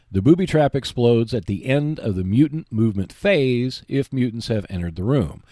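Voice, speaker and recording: A man hosting a hobby show, giving explanatory, semi-scripted commentary in a measured, emphatic way. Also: mid-range voice, a little mellow, no echo, studio quality. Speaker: host of a board game video show.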